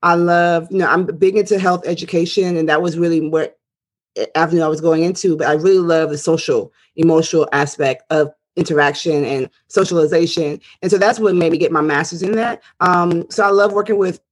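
A woman's voice: fairly low pitch, fairly even intonation, fairly quick. Chatty woman guest from Ghana. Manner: chatty, enthusiastic, laughs easily. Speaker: guest, counselor and motivational speaker. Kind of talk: casual interview chat.

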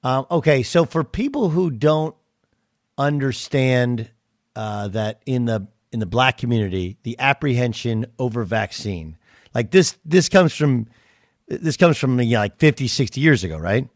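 A man hosts sports talk radio with casual banter. His mid-range voice is creaky and gravelly. The sound is dry, with no room to it. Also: medium pitch, expressive, a little slow, no room sound.

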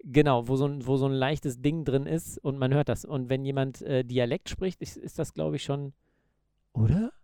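A man's voice: mid-range voice, normal speed, warm tone, clear, resonant tone, no room sound, clean studio sound. A man co-hosting a podcast, chatting casually in loose, joking banter. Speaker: podcast co-host. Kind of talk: casual chat.

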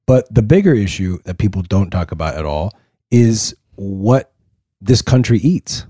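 A man from California co-hosts a casual podcast in a low, mellow voice. He talks in a laid-back way and teases his co-host. The sound is close and dry. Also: animated, measured pace, slightly rough, no room sound. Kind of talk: casual chat.

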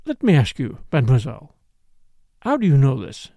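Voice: medium pitch, huge pitch swings, moderate pace, gravelly, warm tone, no echo, voice pushed down, heavily acted. A reader voicing a suave, shrewd French count in an audiobook story, languid, polite and ironic. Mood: cool, probing.

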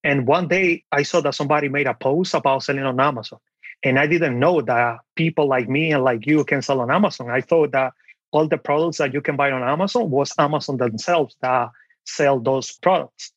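A man's voice: medium pitch, fairly quick, breathy edge, no echo, lo-fi sound. A young man from the Dominican Republic with a Dominican Spanish accent, telling his story casually as an interview guest. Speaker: podcast guest, online seller.

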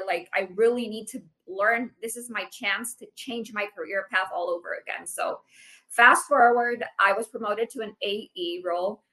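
A woman in her late thirties, a sales manager talking casually as a podcast guest, animated and full of "like". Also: mid-range voice, poor audio. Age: 30s. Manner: animated, uses "like" often. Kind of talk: casual interview chat.

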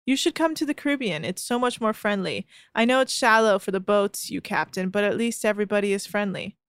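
A woman's voice: mid-range voice, brisk, clear, resonant tone, no echo, studio quality. A woman co-hosting a casual podcast chat, reading posts aloud with amused disbelief. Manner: amused, incredulous, laughing. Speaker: podcast co-host.

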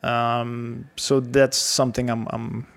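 A man's voice: medium pitch, flat delivery, takes it slowly, vocal fry. A man in his thirties from Belgium, a guest speaking casually and hesitantly with many fillers and restarts. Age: 30s. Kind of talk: casual conversational interview.

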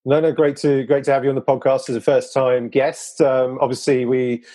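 A man's voice: medium pitch, flat delivery, moderate pace, warm tone. A man hosting a podcast, presenting and interviewing. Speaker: podcast host.

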